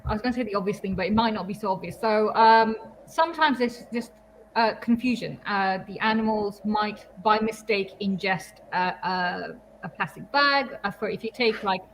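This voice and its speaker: A woman's voice: medium pitch, moderate pace, a little hiss or hum, low-quality recording. A young woman from Kenya, a marine biologist, talking thoughtfully and hesitantly in a casual livestream. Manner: thoughtful, hesitant, laughs often.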